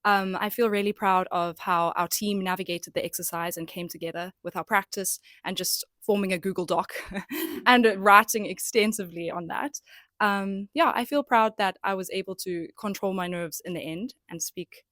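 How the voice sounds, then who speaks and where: A woman's voice: mid-range voice, moderate pace, sharp tone, dry recording, clean studio sound. Upbeat young woman. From South Africa. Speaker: graduate student. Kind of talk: student testimonial.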